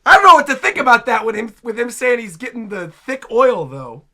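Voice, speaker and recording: A man giving casual reaction commentary, excitable and often repeating himself for emphasis. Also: very high voice, fairly quick. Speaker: reaction video host.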